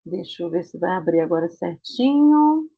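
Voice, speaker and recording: A woman with a Rio de Janeiro accent teaching an online Portuguese class, drawing out her example words slowly. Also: fairly low pitch, lively intonation, creaky. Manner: slow, stretched-out example words. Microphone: video call. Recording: muffled video call audio.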